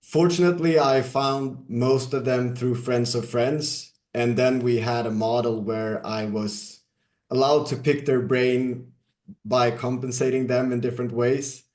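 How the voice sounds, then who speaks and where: A man from Sweden, a guest on an interview podcast, telling his career story in a measured, casual way with some fillers. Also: mid-range voice, fairly even intonation, darkish tone, reverberant. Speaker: guest, software company CEO.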